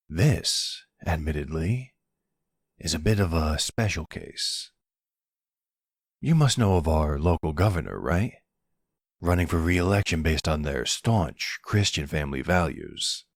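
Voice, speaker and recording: Man acting as a deep-voiced, exasperated mafia boss. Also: no room sound, studio quality, full character voice. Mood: reassuring, wry. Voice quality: deep, smooth, gravelly creak. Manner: wry, colloquial, weary. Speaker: voice actor in an audio roleplay. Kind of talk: ASMR roleplay acting. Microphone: close, dry microphone.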